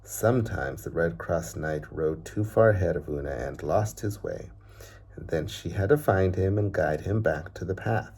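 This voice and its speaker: A man reads a storybook aloud to children, with measured, deliberate phrasing that often trails off softly at the ends of lines. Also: deep voice, vocal fry, husky.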